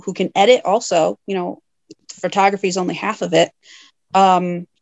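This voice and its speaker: A young woman talks in a casual interview, recorded dry and close with no room sound. She is friendly and laughs easily, filling pauses with ums and uhs. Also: medium pitch, no echo. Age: young adult. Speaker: haunted house owner.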